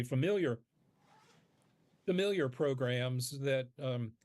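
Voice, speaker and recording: A man being interviewed as a radio producer, explaining his work in measured phrases with halting pauses mid-sentence. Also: mid-range voice, darkish tone, dry recording.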